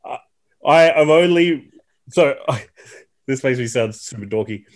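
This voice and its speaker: A man's voice: mid-range voice, expressive, measured pace. A man in his thirties from Tasmania with an Australian accent, a podcast guest chatting casually and self-deprecatingly with plenty of fillers. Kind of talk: casual chat.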